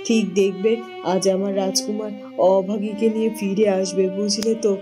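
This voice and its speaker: A woman's voice: medium pitch, level tone, moderate pace, over music, close to an everyday voice. A woman voicing a hopeful, devoted queen and mother in a cartoon drama. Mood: hopeful, insistent. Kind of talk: dramatized cartoon story dialogue.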